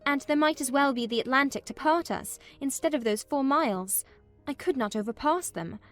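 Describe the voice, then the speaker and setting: Lady acting a weary proud young gentlewoman, highish voice, plaintive storytelling from a classic novel, faint music. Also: moderate pace, close to an everyday voice. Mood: forlorn. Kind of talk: dramatized audiobook narration of a classic novel, in first person.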